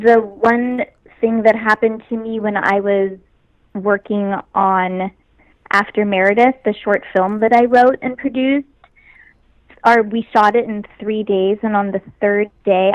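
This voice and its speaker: A young adult actress with a high voice, chatting easily as an interview guest and laughing often. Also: female voice, fairly even intonation, a little slow, dry recording, highs cut off. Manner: easygoing, laughs often, talks about herself in a light way. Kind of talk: casual interview chat.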